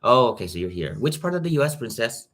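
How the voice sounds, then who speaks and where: A man's voice: mid-range voice, very animated, fast, fairly bright tone. A young man with a Filipino accent chatting casually on a livestream, greeting viewers by name as he talks. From Philippines. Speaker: YouTube reactor.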